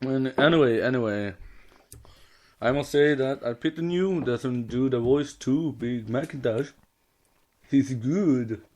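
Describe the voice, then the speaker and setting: Slow-talking Swedish man, halting English. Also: mid-range voice, darkish tone. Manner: halting, loosely worded English. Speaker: podcast co-host. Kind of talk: casual fan podcast chat.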